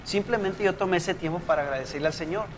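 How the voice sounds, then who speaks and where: A man from South Texas talks through his story as an interview guest, pausing to hunt for words and restarting his sentences. His voice sits a bit high for a man. A steady noise runs under the recording. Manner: hesitant, searching for words, many fillers.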